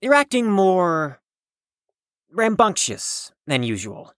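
A woman's voice: on the low side, very animated, slow pace, no room sound, studio quality. A woman in an audio drama playing a sincere, formal character who scolds mildly in wordy phrases. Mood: concerned, scolding.

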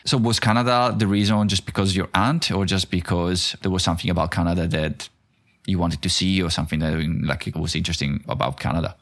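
A man's voice: sits low, fairly even intonation, brisk, a bit bright. A man who interviews a guest, asking curious, long winding questions full of "like". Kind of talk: conversational interview.

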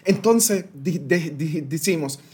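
A man's voice: fairly high pitch, lively intonation, slow, a little mellow, slightly rough. A Puerto Rican man in his thirties, a guest consultant explaining ideas animatedly with everyday analogies and some English words mixed in. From Puerto Rico. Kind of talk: interview explanation.

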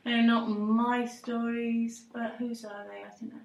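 A woman's voice: a little high, level tone, unhurried, reverberant, distant mic, a little hiss or hum. A woman storyteller from Cardiff, answering interview questions thoughtfully and hesitantly, with many restarts. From Wales, Cardiff.

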